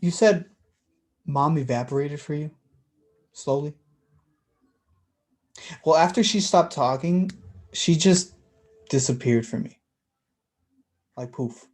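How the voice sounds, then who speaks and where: A man's voice: on the high side, slow, pauses often, darkish tone. A man playing a defensive, wounded blind brother in a staged play reading, blunt and sarcastic. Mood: reflective, sad.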